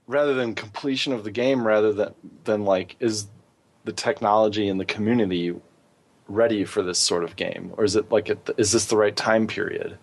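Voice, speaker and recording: A man's voice: on the low side, fairly even intonation, normal speed, vocal fry. A man co-hosting a casual gaming discussion, rephrasing his thoughts as he goes. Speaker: podcast co-host.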